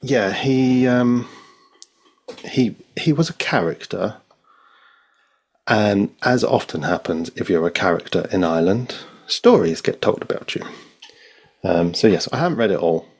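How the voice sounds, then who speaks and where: A man as a podcast guest, telling stories in a relaxed, hesitant way with many ums. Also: lowish voice, unhurried, pauses often, slightly rough. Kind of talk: casual chat.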